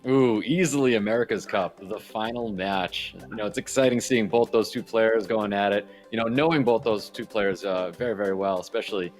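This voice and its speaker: A man's voice: fairly low pitch, brisk, few pauses, soft background music. A man who works as an esports caster, answering interview questions in a casual, conversational way.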